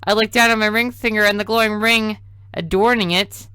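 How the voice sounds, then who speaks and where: Casting: man playing a frantic teenage girl from a visual novel, pitched up, bright tone. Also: steady tone, fairly quick, clean tone, no room sound, clean studio sound. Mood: panicked. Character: panicky teenage girl heroine.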